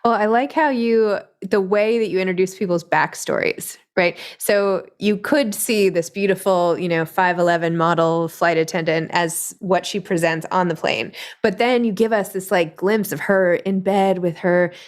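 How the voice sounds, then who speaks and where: A woman's voice: lowish voice, fairly even intonation, moderate pace, hardly any pauses. A woman hosting a casual interview, chatty and informal as she thinks aloud. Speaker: podcast host interviewing an author.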